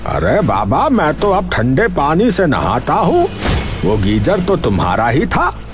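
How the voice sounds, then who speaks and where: A blustering village headman from a cartoon, performed by a man, grumpy and quick to scold. His delivery is slow yet swings widely in pitch, with music behind. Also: wildly swooping pitch. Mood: annoyed, threatening. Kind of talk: cartoon voice acting.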